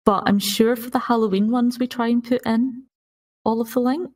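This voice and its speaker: A woman's voice: a little high, steady tone, normal speed, a little mellow. Woman chatting, distant from the mic. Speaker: podcast co-host and player. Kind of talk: casual chat.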